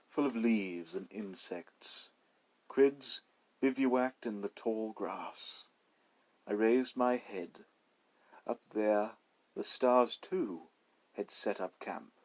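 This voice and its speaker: Slow, low-voiced male story narrator. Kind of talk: literary story narration, measured and dramatic.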